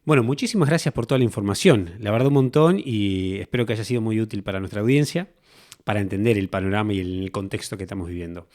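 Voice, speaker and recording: A man's voice: lowish voice, quick pace, darkish tone. A man with a Rioplatense Spanish accent, hosting a podcast and interviewing in a conversational way. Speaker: podcast host.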